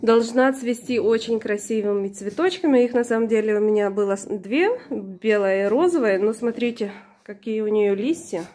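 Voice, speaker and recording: A woman narrating her own home video casually and hesitantly, slightly hoarse from being unwell. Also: medium pitch, normal speed, warm tone. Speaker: plant vlogger. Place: indoors, room in a flat. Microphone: handheld camera or phone, behind the camera.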